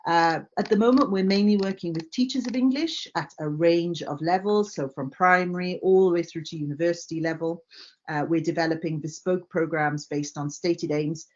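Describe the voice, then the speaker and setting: A woman's voice: lowish voice, normal speed, a little mellow, highs cut off. A Welsh woman giving a conference presentation, friendly and earnest, with frequent "uh" fillers. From Wales. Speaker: company director.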